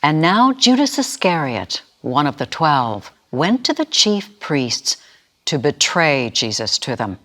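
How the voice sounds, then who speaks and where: A woman giving a solemn, deliberate dramatic reading of scripture as a voice actor. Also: low voice, sing-song, fairly bright tone.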